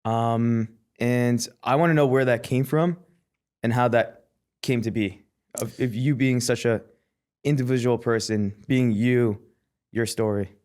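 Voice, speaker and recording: A man of middling pitch with a clear, smooth voice, hosting a relaxed podcast interview. He feels his way through his questions. It is recorded cleanly in a studio. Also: measured pace. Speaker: podcast host. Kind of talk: casual interview conversation.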